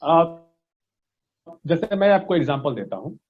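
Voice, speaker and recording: Indian male coach, interview guest. Didactic, slightly high-pitched, switches between Hindi and English. Lo-fi, dull sound. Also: sing-song, unhurried. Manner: didactic, often checking with "right?" and "okay?". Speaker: voice modulation coach being interviewed. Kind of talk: explanatory interview talk mixing Hindi and English.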